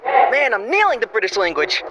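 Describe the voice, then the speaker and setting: A young man playing a flippant, self-absorbed exchange student, evasive and excitable, in an audio drama phone call. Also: high-pitched, normal speed. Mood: flippant, playful. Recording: noisy phone-call style recording.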